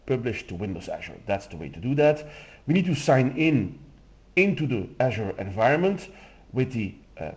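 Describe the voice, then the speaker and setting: A man whose first language is Dutch, explaining a software demo step by step in a relaxed way with some fillers. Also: medium pitch. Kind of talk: screen-recorded software walkthrough.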